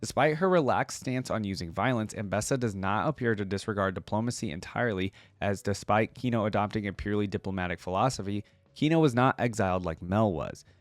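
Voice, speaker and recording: Low-voiced man, dry close sound. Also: fairly quick, no echo. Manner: casual asides between read passages. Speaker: YouTube reaction host. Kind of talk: reading wiki text aloud with casual commentary.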